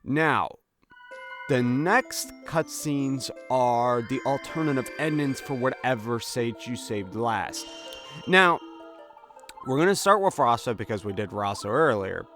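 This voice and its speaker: A man's voice: mid-range voice, expressive, slow pace, fairly bright tone. A man giving casual, chatty let's play commentary in an informal, amused way as host of a video game series. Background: video game music.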